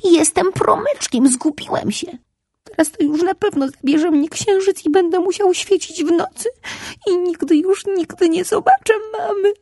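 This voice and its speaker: A woman voicing a small, tearful boy who is a lost sunbeam of the sun, speaking in a high, pleading way. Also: normal speed, dark tone, no room sound, studio quality.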